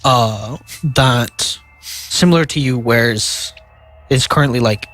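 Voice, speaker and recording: A man's voice: medium pitch, fairly bright tone, no room sound, faint music behind, low-quality recording. Nasal young man running a tabletop game. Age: young adult. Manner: halting, fills pauses with "uh" and "like". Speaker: game master.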